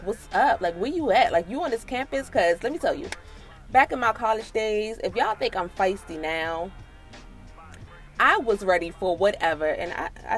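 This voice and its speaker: A woman in her late twenties with an American accent tells a personal story casually, in an animated, sassy, slangy way. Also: medium pitch. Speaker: storytime vlogger.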